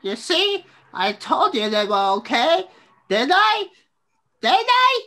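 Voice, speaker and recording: A man voicing a small, excitable, squeaky sidekick in an amateur script reading. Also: slow pace, exaggerated voice. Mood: excited, eager.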